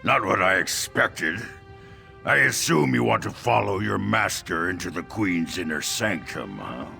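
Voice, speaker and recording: Gravelly, sinister necromancer. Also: male voice, sits low, expressive, pushed and bright, faint music behind, full character voice. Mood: haughty, menacing. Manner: slow, haughty, contemptuous delivery. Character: sinister arrogant male chief necromancer of a queen. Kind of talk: fantasy video game acting.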